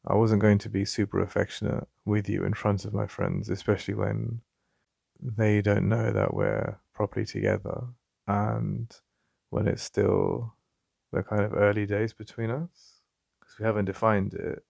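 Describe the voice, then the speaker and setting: A man with an English accent playing a jealous, possessive yet vulnerable boyfriend in an intimate ASMR roleplay, halting and conversational with a gravelly voice. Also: fairly low pitch, level tone, darkish tone, no room sound, clean studio sound. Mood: annoyed, defensive. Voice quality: gravelly, creaky. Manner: halting, repeating himself, conversational. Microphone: close, dry studio-style mic.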